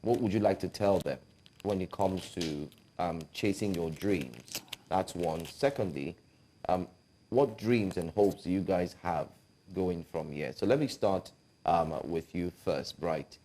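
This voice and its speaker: A man's voice: low-pitched, unhurried, warm tone. A man co-hosting an interview, asking young interviewees questions with many ums and restarts. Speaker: interview co-host.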